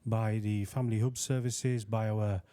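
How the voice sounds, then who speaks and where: A man on a research panel, explaining his work in a relaxed way with many fillers. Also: fairly low pitch, moderate pace, clean tone. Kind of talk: panel discussion answer.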